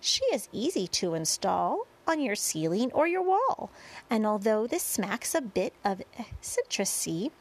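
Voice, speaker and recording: A woman playing a small boy in a storybook, cheerful, polite and excited, with exclamatory, singsong rhyming delivery. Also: mid-range voice, unhurried. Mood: proud, showman-like.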